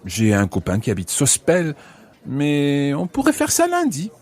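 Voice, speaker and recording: A man's voice: a little high, huge pitch swings, unhurried, a little mellow, heavily acted. A man playing a courteous, slightly reserved holidaymaker among new friends in a scripted language-course radio play. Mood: polite, considerate. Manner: polite, apologetic.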